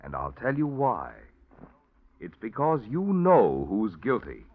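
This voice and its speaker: A man's voice: huge pitch swings, slow pace. A man announcing a radio program and reading its sponsor's advert in a salesy 1940s announcer style. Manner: booming, salesy. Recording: dull old radio broadcast with music.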